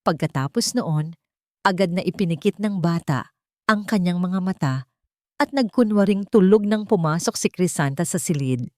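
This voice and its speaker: A woman's voice: lowish voice, animated, normal speed, darkish tone, no echo, studio quality. A woman narrating a scripted dramatic story as an audio drama narrator.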